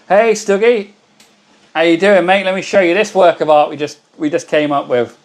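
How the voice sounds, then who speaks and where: Host: hoarse man, slightly high voice, chatty and friendly with viewers, casual live-stream energy. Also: fairly even intonation, normal speed. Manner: chatty, greets viewers by name. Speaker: livestream host.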